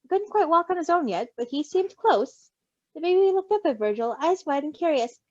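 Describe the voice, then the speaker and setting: A woman reading a story aloud in an expressive, giggly way, with casual asides where she reacts to it. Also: high voice, a little fast, no echo, lo-fi sound. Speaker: story reader and co-host.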